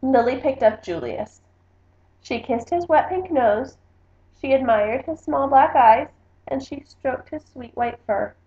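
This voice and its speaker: A woman reading a children's storybook aloud in an expressive narrating style. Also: sits high, measured pace. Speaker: book reader. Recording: echoey room, slightly muffled sound.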